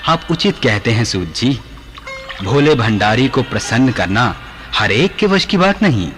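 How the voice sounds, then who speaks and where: An actor playing a respectful, inquisitive sage in a mythological radio play, formal and courteous. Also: male voice, medium pitch, sing-song, measured pace, a bit bright, soft background music. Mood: respectful, curious. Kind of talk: Hindi radio play acting.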